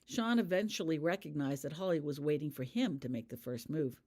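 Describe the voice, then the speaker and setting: A woman's voice: low-pitched, a little fast, darkish tone. A woman reading scripted fiction aloud as an audiobook narrator. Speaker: author reading their own fan fiction.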